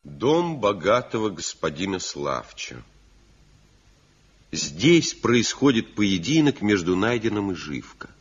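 A man reading stage directions in a measured, solemn way for a 1970s radio play. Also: low-pitched, slightly rough. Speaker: narrator. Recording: dull lo-fi old radio recording.

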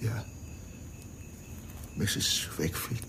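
A man's voice: extremely slow, noisy background. An actor playing a tender-hearted, loyal man in a radio crime drama, speaking earnestly, hesitantly and with deference. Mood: subdued.

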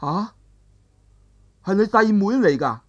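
A mild, earnest young monk, as a male storyteller voices him speaks politely and tries to placate. He talks slowly in a plain voice. A figure from a traditional told story. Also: sits high, expressive, highs cut off, voice pushed up, exaggerated voice. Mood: surprised.

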